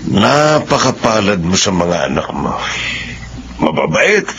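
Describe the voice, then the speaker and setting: A man's voice: low voice, big pitch swings, slow, a bit bright, slight background noise, heavily acted. An actor playing a friendly, teasing older grandfather chatting familiarly in a radio drama.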